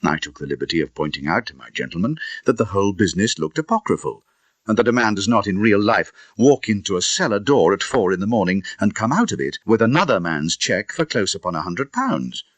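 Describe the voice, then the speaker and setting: An indignant Victorian gentleman, played by a male audiobook reader recounts a grim incident in a British accent. The voice is low and husky, swinging dramatically in pitch. It is closely recorded and dry, with emphatic conversational asides. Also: big pitch swings, fairly quick, no room sound. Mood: wry, emphatic.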